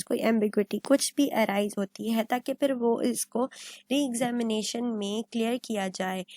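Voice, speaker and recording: A woman's voice: fairly high pitch, a little mellow, dry recording. A woman lecturing on legal material, explaining point by point and mixing in English terms. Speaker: law lecturer.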